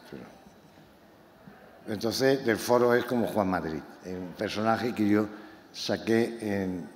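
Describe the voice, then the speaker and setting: A novelist from Málaga, presenting his book to an audience in a talk that is impassioned and halting, with many repeated words. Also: on the low side. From Málaga, Spain. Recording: echoey hall, faint room noise.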